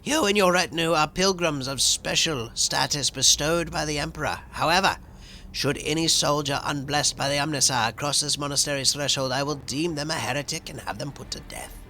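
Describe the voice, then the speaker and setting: A zealous cyborg holy man from a video game. The voice sits high, with a gravelly creak and a sharp, forceful edge. He preaches in solemn, formal, ceremonious phrases. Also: fairly quick, slight background noise. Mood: stern, zealous. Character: solemn zealous cyborg priest, guardian of a sacred monastery. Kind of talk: theatrical video game dialogue.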